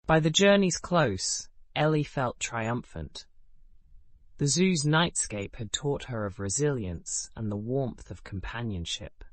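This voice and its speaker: A man narrating a scripted bedtime story in a calm, measured way, his sentences falling away at the ends. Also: mid-range voice, no room sound.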